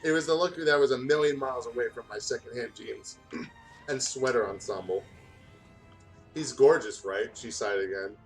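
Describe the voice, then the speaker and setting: A man's voice: medium pitch, moderate pace, faint music behind, rough recording. A man reading fan fiction aloud in a casual, joking way, often stumbling over his words.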